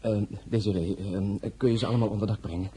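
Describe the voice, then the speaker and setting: An actor playing a weary, devoted royal husband in an audio drama, speaking emotionally and abruptly. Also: male voice, lowish voice, steady tone, quick pace, dark tone, slightly rough. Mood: brisk, incredulous. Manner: emotional, abrupt, swings between murmurs and outbursts.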